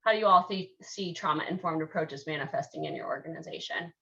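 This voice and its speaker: Woman presenter, bright tense voice, low-pitched, far from the mic, muffled call audio. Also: a little fast, creaky. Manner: relaxed, inviting questions from listeners. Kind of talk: webinar discussion. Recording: distant dull lo-fi call audio.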